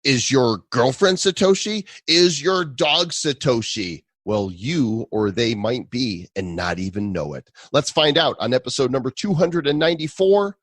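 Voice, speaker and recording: A man's voice: medium pitch, expressive, unhurried, few pauses, no room sound, studio quality. A man with a Chicago accent co-hosting a podcast, reading ads and chatting in a hammy, playful way. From Illinois. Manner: playful, hammy, swaps air and ear sounds. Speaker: podcast co-host. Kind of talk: casual podcast chat and ad reads.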